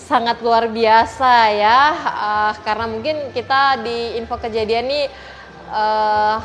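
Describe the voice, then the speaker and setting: A woman podcast host with a fairly high voice, speaking conversationally as she interviews a guest. She often pauses to find words and checks for agreement, over audible background noise. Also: steady tone, low-quality recording.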